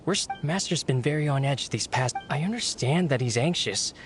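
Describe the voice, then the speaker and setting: A man's voice: medium pitch, expressive, normal speed, natural voice. A man playing an anxious, unsure servant in a video game. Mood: nervous, exasperated. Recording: loud music behind the voice.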